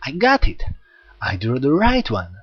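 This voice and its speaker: A man voicing an anxious, scheming magus who speaks with urgent, demanding excitability in an audiobook story. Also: mid-range voice, measured pace, husky, no room sound, muffled recording, exaggerated voice. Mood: elated. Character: anxious, scheming male magus summoning a servant.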